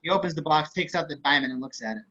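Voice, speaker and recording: A man's voice: a little high, expressive, rapid-fire, pushed and bright. A man reading scripted stage directions as narrator of an amateur table read on a dull-sounding video call.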